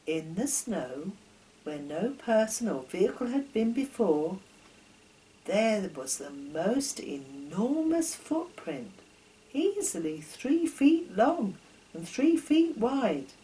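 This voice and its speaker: A woman's voice: medium pitch, sing-song, takes it slowly, dark tone, rough recording. An older woman reading her own children's story as a narrator, expressively, posing questions to the listener.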